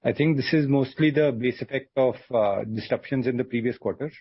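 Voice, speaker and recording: Male Indian executive, husky, explanatory, firm answers to analysts, dry lo-fi call sound. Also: mid-range voice, a little fast, no echo. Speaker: company executive on an earnings call. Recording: dull, lo-fi conference call audio.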